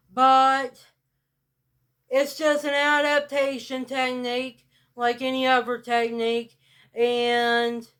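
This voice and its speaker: A woman with a rural American country accent talking to camera in a blunt monologue, keeping her delivery flat and robot-like on purpose. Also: fairly high pitch, smooth. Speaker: vlogger. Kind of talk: casual direct-to-camera monologue.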